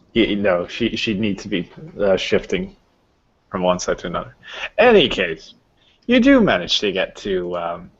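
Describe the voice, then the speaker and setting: A man running a tabletop game as game master, improvising narration haltingly as he searches for words. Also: sits low, husky. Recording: dull, lo-fi voice recording.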